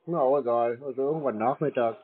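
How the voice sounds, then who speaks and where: A man's voice: medium pitch, quick pace, slightly rough. Laconic man on a tinny phone line. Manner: laconic, feigned naive questioning. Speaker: prank call recipient playing along. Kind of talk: casual phone chat.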